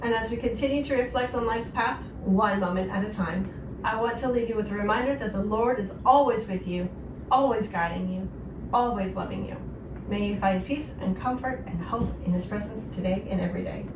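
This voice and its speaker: A woman's voice: mid-range voice, a little fast. Echoey, distant woman hosting devotional radio. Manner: earnest, gentle encouragement. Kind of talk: devotional radio talk with co-host. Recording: roomy echo, distant, clearly noisy.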